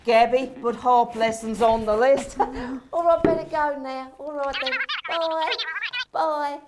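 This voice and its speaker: Flustered saint in a stage comedy, exasperated and repetitive, unhurried, noisy recording. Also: female voice, high-pitched, fairly even intonation. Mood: flustered, apologetic. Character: flustered, exasperated Saint Peter running heaven's recruitment. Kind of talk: comedy stage play dialogue.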